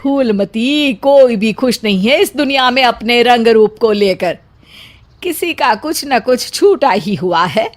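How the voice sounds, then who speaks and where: A woman plays a plump housewife from a cartoon family tale. Her voice is high, and she speaks in a complaining, self-pitying way, never content with herself. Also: lively intonation, a little slow, faint music behind. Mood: discontented. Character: plump, fair housewife, discontented with her own looks. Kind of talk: cartoon family story acting.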